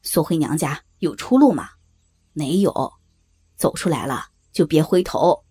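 A man's voice: fairly high pitch, lively intonation, unhurried, warm tone, breathy edge. An actor playing a coarse, leering rural man with a Northeastern Chinese accent, blunt and pressing, in an audio drama. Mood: cajoling, firm. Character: coarse, leering rural brother-in-law, domineering and cajoling.

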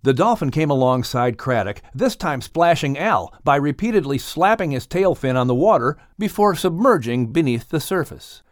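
A man narrating a children's audiobook story in a dramatic, expressive style. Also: on the high side, normal speed, hardly any pauses, no room sound, studio quality.

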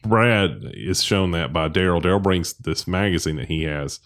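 A low-voiced man rambling through a movie recap. It comes with many restarts and self-corrections. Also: moderate pace, fairly bright tone. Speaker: podcast co-host. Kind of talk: casual movie discussion.

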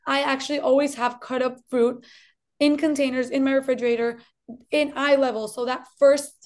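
A woman's voice: sits high, fairly even intonation, moderate pace, a little mellow. A woman in her thirties from Colombia with a slight Colombian Spanish accent, explaining things as a dietitian guest in a friendly way. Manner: friendly, explanatory, repeats for emphasis. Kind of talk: explanatory interview talk.

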